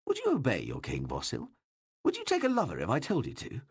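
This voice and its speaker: A male narrator voicing a bawdy, teasing king who mocks and needles those around him in a loud, playful way. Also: medium pitch, wildly swooping pitch, fairly quick, husky, no echo, clean studio sound, voice pushed up, exaggerated voice. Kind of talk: audiobook reading.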